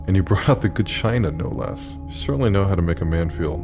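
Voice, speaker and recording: A man's voice: low-pitched, lively intonation, fast, creaky, music in the background. An actor playing a weary, guilt-ridden male rebel leader who broods with dry wit and heavy sighs. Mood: brooding.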